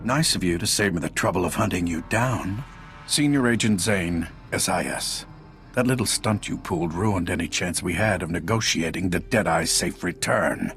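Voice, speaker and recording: Senior agent for a game, fairly low, authoritative, testy and accusatory. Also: male voice, normal speed, soft background music. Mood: irritated, accusing. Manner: sharp, accusatory. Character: irritated, authoritative male senior intelligence agent. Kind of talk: video game dialogue acting.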